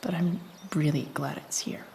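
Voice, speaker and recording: A woman's voice: sits low, steady tone, normal speed, vocal fry, warm tone, distant mic, a little hiss or hum. An actress playing a rebellious, foul-mouthed teenage girl in a video game drama, blunt and sardonic. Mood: wry, focused. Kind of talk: naturalistic video game drama acting, muttering to herself.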